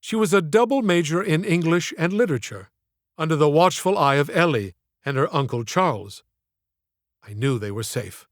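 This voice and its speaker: A man reading his own literary audiobook in a theatrical, measured storytelling manner. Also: fairly high pitch, animated, no echo, studio quality. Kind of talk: scripted literary narration.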